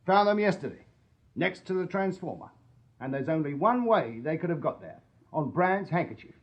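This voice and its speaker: A Victorian English police detective for a radio play. His voice sits a little high. He is methodical and politely deferential, yet shrewd and insistent. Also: big pitch swings, dull audio. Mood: pressing, accusing. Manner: methodical, deliberate, formally polite. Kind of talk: British radio drama acting.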